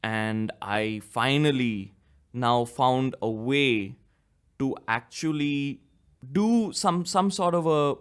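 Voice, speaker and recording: A man's voice: medium pitch, slow, smooth. Man in his twenties, podcast host, slight cough, rambling, self-correcting, casual. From India. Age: 20s. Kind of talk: casual solo podcast talk.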